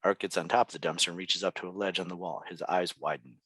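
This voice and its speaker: A man narrates stage directions from a script in plain present-tense sentences. His voice is deep, and the sound is dry with no room around it. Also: steady tone, fast, fairly bright tone, no room sound. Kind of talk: scripted narration at a table read.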